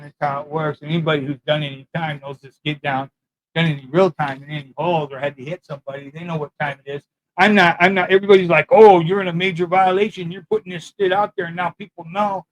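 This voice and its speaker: A man who served prison time, talking into a mic in a loud voice with blunt, profane street talk and a rambling manner. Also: on the high side, low-quality recording. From California. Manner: rambling, loses his thread. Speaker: former prisoner sharing prison know-how. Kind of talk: casual monologue to camera.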